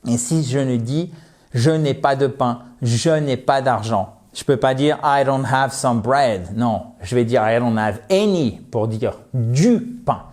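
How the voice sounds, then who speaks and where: A French-speaking man gives an English lesson to the camera in a mid-range voice. He stresses and spells the English words slowly and keeps asking his viewers whether they follow. His voice sometimes drifts off the mic as he moves around. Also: medium pitch, a little mellow. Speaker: English teacher. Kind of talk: video lesson, explaining to viewers.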